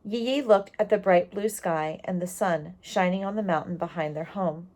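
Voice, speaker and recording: A woman reading a storybook aloud, expressive and explanatory, pausing on key words and adding asides for young listeners. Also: fairly low pitch.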